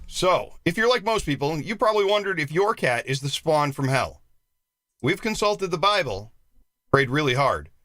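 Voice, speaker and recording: Bright-voiced male co-host. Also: fairly high pitch, no echo. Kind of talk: reading a comic list on a live show.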